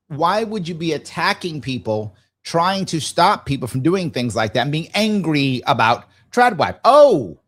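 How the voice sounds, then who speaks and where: A man hosting a live talk show, giving blunt, argumentative commentary and repeating phrases emphatically to drive points home. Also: fairly high pitch.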